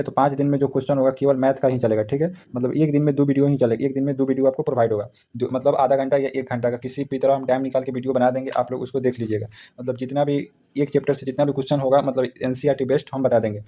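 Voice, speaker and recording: Fast-talking man teaching. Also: mid-range voice, dark tone. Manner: repetitive, explanatory, punctuated with check-in tags. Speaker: maths teacher. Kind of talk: informal exam syllabus lesson.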